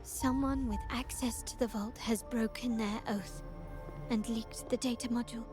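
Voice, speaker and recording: A woman playing a poised young noblewoman in a video game, speaking in a measured, formal, commanding way. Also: a little high, fairly even intonation, music in the background, lightly acted. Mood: grave. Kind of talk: scripted video game character acting.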